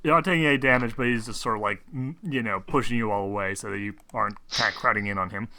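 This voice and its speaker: A man's voice: on the low side, fast, sharp tone. A man acting as game master, narrating a tabletop game off the cuff in an informal, hedging way.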